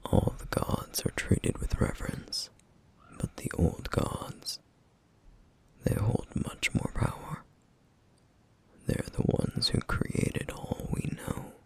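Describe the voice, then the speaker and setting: A man playing a gentle, duty-bound flame demon in an ASMR roleplay, speaking softly in slow, formal, wistful phrases with a rough, breathy voice. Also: natural voice. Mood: wistful, reverent. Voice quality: breathy, whispery, rough, gravelly. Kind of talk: ASMR roleplay, intimate soft-spoken monologue.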